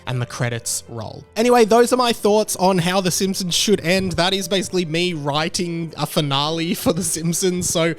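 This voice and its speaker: A man's voice: sits high, expressive, moderate pace, hardly any pauses, faint music behind. A young man with an Australian accent giving earnest, reflective video essay commentary.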